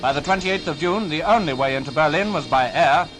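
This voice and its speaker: A man's voice: a little high, animated, fairly quick, background noise. A man reading scripted commentary as a newsreel narrator.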